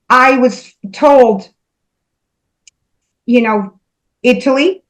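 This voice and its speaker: A woman's voice: a little high, low-quality recording. A woman speaking as a spiritual medium in casual conversation, earnestly relaying messages she says she hears.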